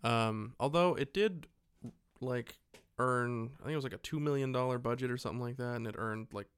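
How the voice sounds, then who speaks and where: A man's voice: mid-range voice, fairly quick, fairly bright tone, smooth, no echo. A man co-hosting a podcast, giving a casual film recap in an irreverent, mocking way. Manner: irreverent, mocking, mimics characters' lines. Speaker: podcast co-host. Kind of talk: casual film recap chat with acted-out dialogue.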